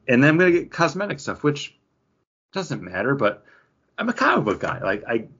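A man's voice: mid-range voice, very animated, moderate pace, fairly bright tone, slightly rough. A man talking casually and emphatically about games as a podcast co-host, with some swearing, on slightly dull audio.

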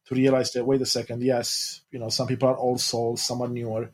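A man from Romania hosts a podcast conversation in a husky, slightly breathy voice. He talks in a reflective way, working through his ideas in long searching sentences. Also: mid-range voice, monotone, brisk. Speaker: podcast host. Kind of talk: casual interview chat.

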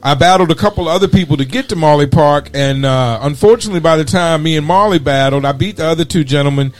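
A man hosting a relaxed conversation, chatting easily and looking back on old times. His voice is a little higher than most men's. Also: fast. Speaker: podcast host. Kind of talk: casual interview chat.

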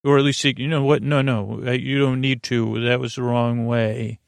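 An American man hosting a sleep podcast in a rambling monologue, halting and often interrupting himself as he meanders along. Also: medium pitch, level tone, creaky, clean tone, no room sound. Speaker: podcast host.

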